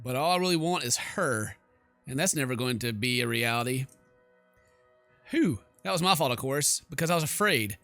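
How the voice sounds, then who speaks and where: Male gaming host, bright forceful voice, playful commentary, dry close sound, faint music behind. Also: medium pitch, expressive, normal speed, no echo. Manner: joking, playful asides. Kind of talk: playthrough commentary, reading on-screen text aloud. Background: game music.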